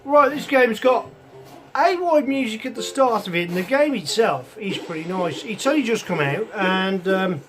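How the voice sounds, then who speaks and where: A man with a croaky, rather high voice hosts a casual retro computer show from his hobby room. He rambles along chattily, full of ums and asides, as chiptune music plays clearly behind him. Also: animated, normal speed. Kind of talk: casual rambling review chat. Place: home hobby room with computers and a soldering bench. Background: chiptune music playing from a computer.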